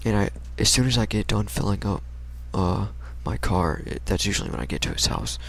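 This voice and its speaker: A young American man with a low-pitched voice full of vocal fry. He tells an everyday story casually, in slangy, halting fragments that ramble along. There is a faint layer of noise behind him. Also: expressive. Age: young adult. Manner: rambling, slangy, hesitant delivery.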